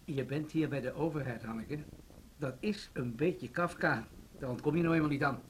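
An actor playing a jovial, evasive middle-aged government official in a radio play, bantering and slightly patronising. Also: male voice, a little high, moderate pace, natural voice. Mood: breezy, explaining. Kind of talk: Dutch radio play acting. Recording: noisy old radio drama recording.

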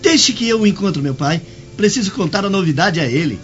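Animated, eager young Roman nobleman. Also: high-pitched, fairly quick. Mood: hopeful, eager. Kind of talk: radio drama acting. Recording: dull lo-fi, music behind.

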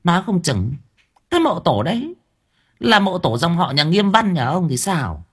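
A shrill, husky village wife, greedy and conspiratorial, wheedling her husband with sudden high swoops, as a male storyteller puts her on. Her pitch leaps far above the narrator's own. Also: big pitch swings, normal speed, a bit bright, full character voice. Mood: coaxing. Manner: nagging, conspiratorial, whispers and cajoles. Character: scheming sharp-tongued village wife.

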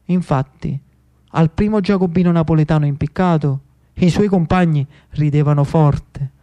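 A man with a Neapolitan accent narrating theatrically as a storyteller-actor, in a dramatic, deliberate way. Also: a little high, dark tone, muffled recording.

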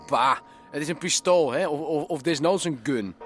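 A man's voice: fairly high pitch, normal speed, loud music behind the voice, close to an everyday voice. A man acting the eager, argumentative young adult son of a gangster in a radio drama. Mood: challenging.

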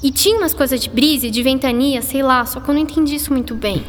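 A woman's voice: high-pitched, quick pace, a little hiss or hum, natural voice. A woman with a Brazilian accent playing a curious, chatty schoolgirl in a radio drama, sweet and a little puzzled.

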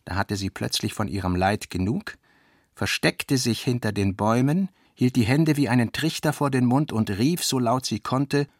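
Man, radio play narrator, measured literary reading, mid-range voice, dry studio acoustic. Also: medium pitch, dry recording.

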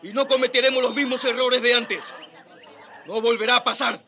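An actor playing a determined, commanding rebel leader in a radio drama, speaking firmly. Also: male voice, very high voice, steady tone, normal speed, creaky, bright, forceful tone, heavily acted. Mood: resolute. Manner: firm, commanding.

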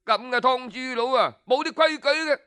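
A man's voice: extremely high pitch, normal speed, sharp tone, smooth, pitched above the performer's own voice. A man with a Cantonese accent voicing haughty, indignant palace guards in a folk tale, grumbling and brash. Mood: scornful.

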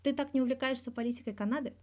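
A woman's voice: sits high, steady tone, racing, dark tone, voice pushed up, lightly acted. A woman voicing a persistent male journalist in an audiobook, putting direct, pressing questions. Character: persistent, probing adult male journalist interviewer.

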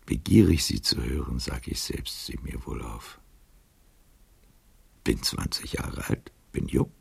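Very deep, gravelly, breathy old gallant. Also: male voice, lively intonation, heavily acted. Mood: dreamy, awed. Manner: slow, theatrical, musing to himself. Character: frail, aging, proud faded gallant, wistful and theatrical. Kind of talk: literary radio drama acting.

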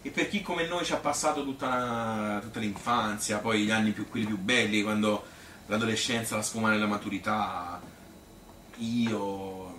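A male actor playing a chatty Tuscan man who reminisces about his youth in a colloquial, rambling stage monologue, full of repetitions and appeals for agreement. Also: fairly low pitch. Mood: wistful. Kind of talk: spontaneous-sounding theatrical storytelling. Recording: echoey room, distant mic, faint noise.

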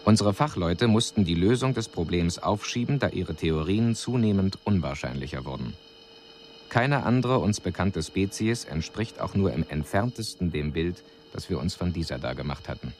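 An actor playing an alien envoy in a radio drama, delivering a formal report in a measured, precise, clinical manner. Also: male voice, deep voice, lively intonation, a little mellow. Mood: detached, formal. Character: male alien envoy reporting coolly on a doomed city. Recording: radio drama recording with audible background noise.

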